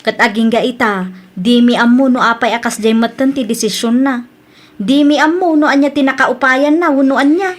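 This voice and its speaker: A woman's voice: fairly high pitch, normal speed, lightly acted. A woman with an Ilocano Filipino accent playing a chatty young daughter and friend in a radio comedy drama. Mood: confiding, sympathetic.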